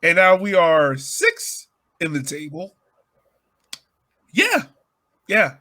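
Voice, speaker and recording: A man's voice: on the high side, wildly swooping pitch, slow, sharp tone. A man hosting a sports podcast in a casual, conversational style.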